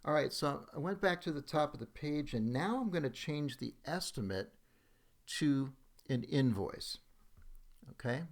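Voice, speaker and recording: A man's voice: fairly high pitch, animated, a little slow. A man giving a casual, instructional screen demo voice-over, checking in often with okay as he goes. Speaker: software demonstrator.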